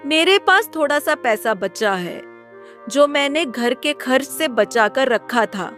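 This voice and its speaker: Casting: woman as kind young village wife, storybook drama; higher voice, slow, soothing delivery. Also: lively intonation, faint music behind, full character voice. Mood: reassuring. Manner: gentle, reassuring. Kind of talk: dramatized Hindi moral story.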